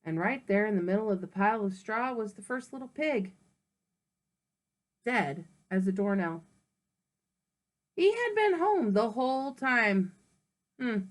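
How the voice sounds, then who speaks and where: A woman's voice: medium pitch, sing-song, a little slow, long pauses. A woman voicing a male wolf who narrates his own version of a storybook in a chatty, persuasive way. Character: smooth-talking male wolf telling his side.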